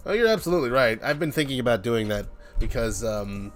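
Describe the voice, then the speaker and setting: Animated man rambling on a livestream. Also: mid-range voice, fairly quick. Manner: joking, playful, acts out little imagined exchanges. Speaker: indie game developer hosting a live stream. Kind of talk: casual rambling livestream chat. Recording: music under the voice. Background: background music.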